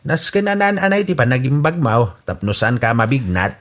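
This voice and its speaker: A man's voice: very animated, normal speed, fairly bright tone. A man playing a lecturing Ilocano husband. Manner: lecturing, swinging pitch. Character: preachy older husband Ramos.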